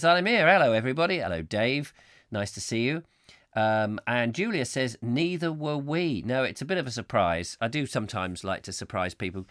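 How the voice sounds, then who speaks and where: A slightly hoarse man hosting a comic live chat show, rambling whimsically and mocking himself as he reads out viewer comments. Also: medium pitch, fairly bright tone, dry recording, studio quality. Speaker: host.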